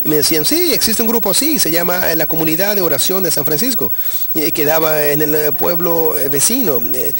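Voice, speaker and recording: A man's voice: on the high side, expressive, fairly quick. A man from Texas, a guest in an interview, telling his own faith story in an earnest, reflective way with frequent hesitations. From Texas, USA. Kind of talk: personal faith testimony in conversation.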